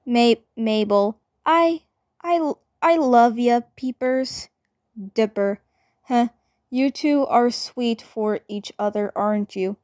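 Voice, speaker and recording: High-voiced woman, slow and halting. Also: darkish tone, no echo, clean studio sound. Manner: halting, stammering, repeating phrases. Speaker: fan dub performer.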